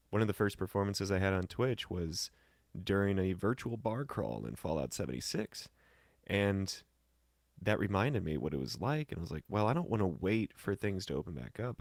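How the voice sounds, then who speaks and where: A man from the United States talking casually as a guest, telling anecdotes in a laid-back, colloquial way with pauses mid-thought. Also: deep voice, dry recording, clean studio sound. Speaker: guest musician and voice talent. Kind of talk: casual chat, personal anecdotes.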